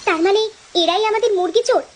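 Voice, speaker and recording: Cartoon village mother, played by a woman: very high-pitched, fast and emphatic, fed up, music behind. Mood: concerned. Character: exasperated village housewife and mother. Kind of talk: animated children's story dialogue.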